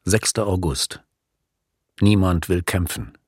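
An actor playing a grim reader of wartime reports in a radio docudrama, grave and husky. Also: male voice, takes it slowly, dry recording, studio quality, exaggerated voice. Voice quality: deep, husky. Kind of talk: radio docudrama document reading.

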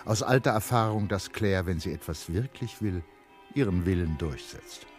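A male narrator with a deep voice tells a literary story for a radio play. Faint music sits behind him. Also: normal speed.

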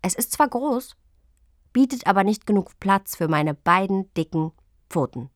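An actress playing an earnest, playful young princess in a radio drama, reciting notebooks and letters in a childlike, emphatic way. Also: female voice, mid-range voice, lively intonation, measured pace, no echo, studio quality, close to an everyday voice.

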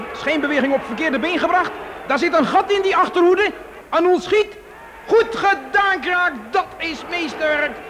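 An actor playing an excitable radio football commentator, reporting dramatically in an old-time broadcast style. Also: male voice, very high-pitched, background noise. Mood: excited.

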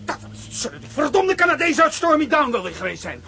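A man's voice: very high-pitched, sing-song, fast talker, gravelly, bright, forceful tone, slight background noise, heavily acted. A blustering hot-tempered man. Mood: furious. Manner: blustering, cursing. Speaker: Mr Thomas, a man whose car is stolen. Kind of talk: radio drama acting.

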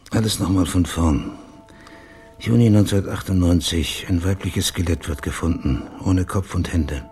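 An actor playing a brooding private detective in a radio play, speaking in terse, clipped phrases with a husky voice. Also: male voice, low voice, warm tone, close to an everyday voice. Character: brooding male private detective narrating his own case. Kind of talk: radio play monologue and dialogue.

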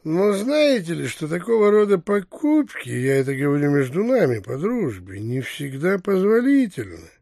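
A creaky, crafty bearish landowner. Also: male voice, on the high side, sing-song, normal speed, hardly any pauses, a little mellow, dry recording, clean studio sound, heavily acted. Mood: sly, insinuating. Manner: wheedling, grumbling, sly. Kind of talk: theatrical audio drama acting.

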